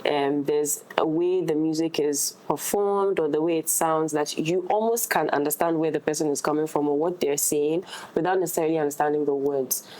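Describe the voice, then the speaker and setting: A woman with a low-pitched voice speaks in a casual discussion. She is thoughtful, hesitating as she works out how to put each idea. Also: brisk, mellow. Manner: thoughtful, hesitant while forming ideas. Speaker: actress in discussion.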